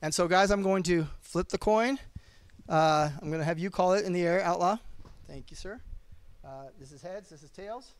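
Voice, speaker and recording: A man's voice: high voice, normal speed, smooth. A man hosting and refereeing, chatting casually with fighters and laughing often. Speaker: host and referee. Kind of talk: casual interviewing.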